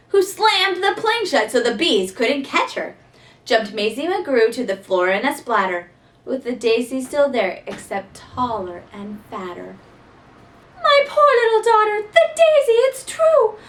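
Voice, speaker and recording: A woman reading a rhyming children's story aloud in an expressive, theatrical style. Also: a little high, unhurried. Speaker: storybook reader. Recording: voice somewhat distant from mic.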